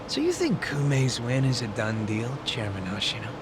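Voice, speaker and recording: An actor playing a streetwise, principled former gang boss in a video game, casual and persuasive over background noise. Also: male voice, mid-range voice, huge pitch swings, moderate pace. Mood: businesslike. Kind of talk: English video game voice acting.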